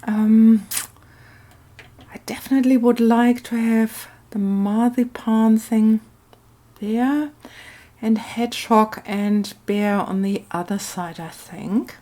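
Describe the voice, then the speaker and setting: Slow-paced woman narrating. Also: fairly high pitch, fairly even intonation, dark tone. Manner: musing, hesitant, thinking aloud. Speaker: craft video host. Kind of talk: casual craft narration and slow storytelling.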